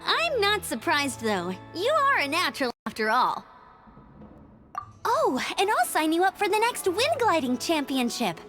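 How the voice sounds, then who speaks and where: An upbeat, daring young woman from a video game, with a very high, lively voice. She is chatty and eager, like someone who loves a thrill. Music plays clearly behind her. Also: unhurried, exaggerated voice. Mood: cheerful. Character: bubbly, bold young woman, a daring glider champion. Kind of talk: animated video game voice acting.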